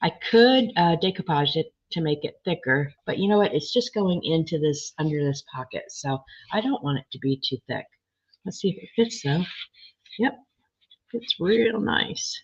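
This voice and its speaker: Chatty crafter woman, husky voice, lo-fi. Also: deep voice, animated, moderate pace. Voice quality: slightly hoarse, froggy. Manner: chatty, thinking aloud while working, reading comments. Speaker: crafter showing a project. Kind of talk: casual crafting chat. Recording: dull lo-fi sound.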